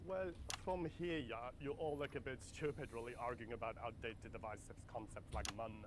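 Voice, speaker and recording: An actor playing a relaxed Nordic minister with a Swedish accent, who talks earnestly and fills his speech with "like" and "yeah". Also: medium pitch, a little fast, background noise, lightly acted. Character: laid-back male Nordic minister, earnest and spacey.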